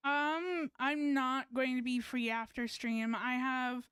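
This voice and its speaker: A woman's voice: high voice, flat delivery, slow, a bit bright, clean tone, no room sound. A woman game streamer chatting casually in a rambling, hesitant way, close to the mic. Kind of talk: casual livestream chat, reading game dialogue aloud.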